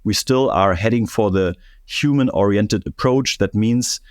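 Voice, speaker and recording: A man's voice: on the low side, unhurried, dry recording, clean studio sound. A man answering interview questions as an expert guest, thoughtful and often restarting his phrases. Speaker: guest, air traffic control expert.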